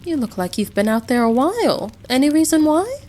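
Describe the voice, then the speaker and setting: A woman playing a caring, motherly American wife in a roleplay audio drama, gentle and reassuring, full of endearments. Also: high voice, big pitch swings, a little fast. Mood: welcoming, concerned.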